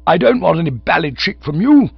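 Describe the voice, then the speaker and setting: A man voicing a silly, excitable young upper-class English gentleman in a storybook reading, plummy and wordy. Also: medium pitch, fast talker, vocal fry, slightly rough, dry recording, lo-fi sound, voice pushed up. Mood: indignant. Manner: plummy, indignant or breezy, wordy.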